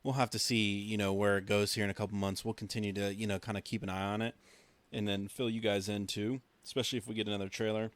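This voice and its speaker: A man's voice: lowish voice, level tone, fast. A man co-hosting a podcast in casual, informal chat, laid-back and agreeable. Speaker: podcast co-host.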